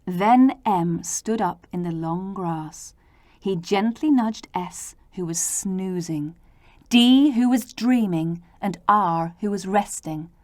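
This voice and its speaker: A woman reading a children's storybook aloud in a theatrical, deliberate way, acting out the characters. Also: medium pitch, no room sound. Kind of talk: expressive children's storybook narration.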